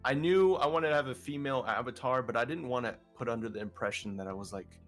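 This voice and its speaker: A man with a deeper voice, guesting on an interview and talking in a casual, rambling way with many restarts. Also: fast, faint music behind. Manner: rambling, filler-heavy, frequent restarts. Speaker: vtuber guest.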